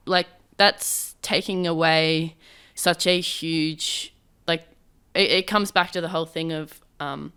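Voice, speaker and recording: A woman's voice: sits low, creaky, pushed and bright, clear, resonant tone. A woman from Queensland hosting a casual podcast interview, chatty and rambling with restarts. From Queensland, Australia. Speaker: podcast host. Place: small office studio.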